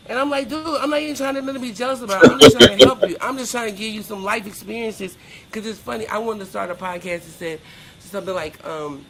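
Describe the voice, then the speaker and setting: A woman's voice: mid-range voice, gravelly, fairly bright tone, a little hiss or hum, low-quality recording. A middle-aged woman talking candidly as a podcast guest in a blunt, emphatic, colloquial way. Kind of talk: candid personal interview.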